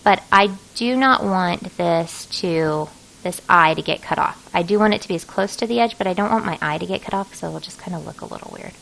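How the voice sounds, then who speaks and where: Creaky-voiced woman giving a casual tutorial. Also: fairly low pitch, a little fast, a bit bright, low-quality recording. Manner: casual, folksy, thinking aloud while working. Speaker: craft design software tutorial host. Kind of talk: informal screen-recorded tutorial narration.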